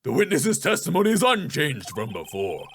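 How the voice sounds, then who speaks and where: A man's voice: a little high, wildly swooping pitch, moderate pace, fairly bright tone, a little hiss or hum, heavily acted. A man voicing a sneering prosecutor. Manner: sneering, clipped. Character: arrogant male prosecutor, cold, condescending. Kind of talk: video game voice acting.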